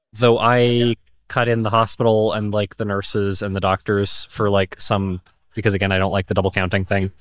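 A man chatting casually at a meetup, telling stories in an animated, self-deprecating way. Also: mid-range voice, smooth, dry recording. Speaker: meetup attendee. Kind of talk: casual group conversation. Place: indoor event space.

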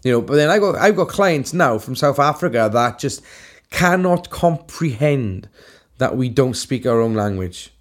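A man's voice: medium pitch, expressive, moderate pace. A Welsh man co-hosting a casual podcast chat, talking in an emphatic, heated way and swearing freely. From Wales. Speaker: podcast co-host, tattoo artist.

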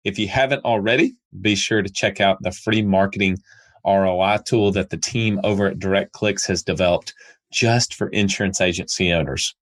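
A very low-pitched man hosts a business podcast, wrapping up with upbeat thanks and sponsor plugs. His voice comes through a little nasal from a cold he is getting over. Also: normal speed, fairly bright tone. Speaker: podcast host. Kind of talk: podcast interview and outro.

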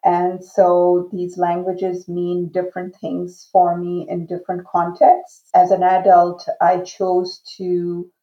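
A woman in her fifties from Iran, whose first language is Urdu, giving a measured and reflective interview answer as a guest professor. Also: lowish voice, monotone, echoey room, highs cut off. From grew up in Iran. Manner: slow, measured and reflective, with fillers.

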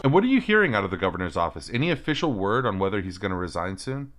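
A man co-hosting a comedy podcast, playing a news anchor and then chatting casually, playful and quick to swear. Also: lowish voice, very animated, heavy background noise. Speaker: podcast co-host. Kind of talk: mock news interview and casual chat.

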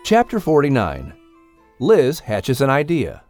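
A man's voice: mid-range voice, huge pitch swings, unhurried, a little mellow, soft background music. A man doing scripted banter and audiobook narration for a children's story podcast. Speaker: narrator and sidekick.

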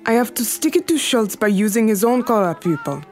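A woman's voice: fairly high pitch, sing-song, a little fast, a little mellow, faint music behind. An actress playing a commanding, shrewd woman crime boss in a period radio drama, clipped and imperious in her delivery. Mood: steely.

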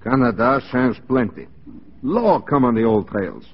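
Defiant rebel with animated, husky voice. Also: male voice, mid-range voice, a little slow. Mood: defiant, urging. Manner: broken English with dropped words. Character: Métis rebel leader, defiant, rough frontier man. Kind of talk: 1940s radio acting. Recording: muffled, lo-fi 1940s radio recording.

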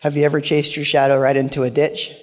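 A man preaching as a guest pastor with a quiet voice, telling stories with humorous asides in a church. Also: fairly high pitch, completely flat delivery, quick pace. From Portland, Oregon. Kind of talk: sermon. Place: church sanctuary. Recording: live church hall with long reverb.

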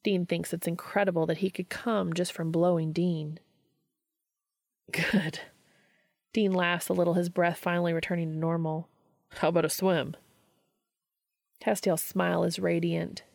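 A woman reading fiction aloud as an expressive scripted narration. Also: on the low side, a little slow, gravelly, long pauses, no room sound, studio quality. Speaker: fan fiction reader.

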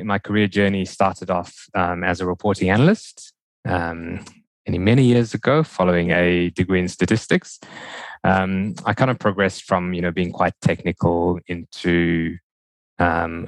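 A man's voice: low-pitched, dry recording. A man from South Africa with a mixed South African and New Zealand accent, answering questions conversationally as a podcast guest. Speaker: podcast guest, data and analytics executive.

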